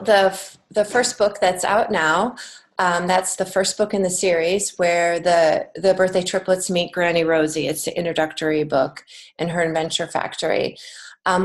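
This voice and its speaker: An American woman speaks as a guest in a conversational interview. Her voice sits slightly low for a woman. She comes across as earnest and encouraging, explaining things in an informal way. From United States. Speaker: podcast guest, children's book author and teacher.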